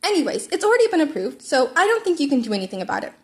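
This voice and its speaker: A woman's voice: high voice, sing-song, rapid-fire, voice pushed up. A woman voicing an awkward, blithely reckless teenage schoolboy who is nonchalant and eager, in a story reading. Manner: nonchalant, eager, matter-of-fact about danger. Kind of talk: character dialogue in a fan fiction reading.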